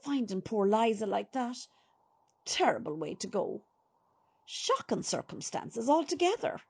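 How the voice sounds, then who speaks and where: An actress playing a nosy, gossipy older Irish neighbour in a radio play, with a husky voice and a chatty, probing manner. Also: female voice, on the high side, sing-song, unhurried, a little mellow, exaggerated voice. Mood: prying sympathy.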